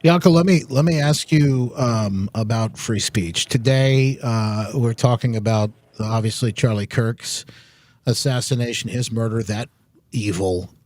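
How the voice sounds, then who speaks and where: American male host with halting, deliberate phrasing. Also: mid-range voice, darkish tone. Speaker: interview host. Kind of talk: conversational political talk show.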